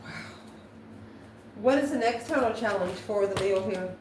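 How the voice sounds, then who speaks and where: A woman giving an improvised spiritual tarot reading to viewers, musing in a halting way and posing questions to herself. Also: medium pitch. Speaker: tarot card reader, channel host. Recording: echoey room, distant mic, faint noise.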